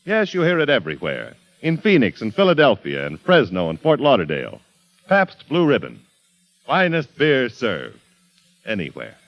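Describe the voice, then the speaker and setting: A man announcing and reading a beer ad on a 1940s radio show, smooth and persuasive. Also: medium pitch, wildly swooping pitch, normal speed, lo-fi sound.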